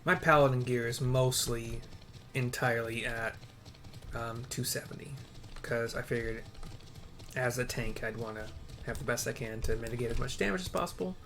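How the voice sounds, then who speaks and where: Male game streamer, mid-pitched, casual and playful, half talking to himself, keyboard noise in the background. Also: moderate pace, fairly bright tone. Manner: offhand, playful muttering to himself. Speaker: gamer, video host. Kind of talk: casual gameplay commentary.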